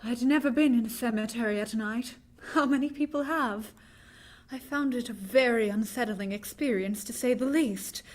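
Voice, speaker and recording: Woman acting an earnest, high-voiced young heroine. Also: moderate pace, natural voice. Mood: haunted narrating. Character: earnest, unsettled young woman, devoted friend, narrating. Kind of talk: vintage radio drama acting and narration.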